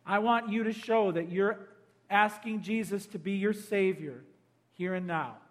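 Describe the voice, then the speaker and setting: High-voiced pastor making an urgent altar call. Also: male voice, a little slow. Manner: urgent, pleading, swells loud then drops to a hush. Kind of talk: evangelistic altar call sermon.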